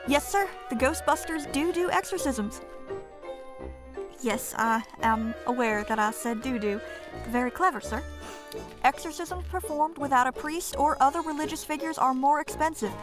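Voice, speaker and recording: Woman as a high-voiced, dryly polite receptionist. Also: moderate pace, over music, lightly acted. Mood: patient, dry. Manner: patient customer-service delivery, deadpan. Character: polite, dryly sardonic female receptionist at a ghost-catching business. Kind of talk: audio drama acting.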